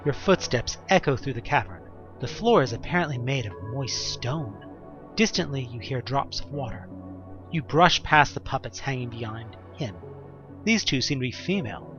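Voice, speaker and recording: Man, horror story narration, highly animated pitch, suspenseful, theatrical, wry asides, muffled lo-fi recording. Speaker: narrator of an audio drama. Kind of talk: dramatic second-person horror story narration. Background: music.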